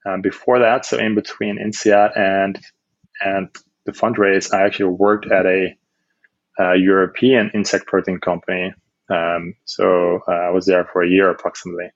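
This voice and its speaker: A man's voice: sits low, little pitch movement, normal speed, no echo, dull audio. A man in his thirties from the Netherlands, a startup co-founder answering interview questions casually, with many fillers and restarts.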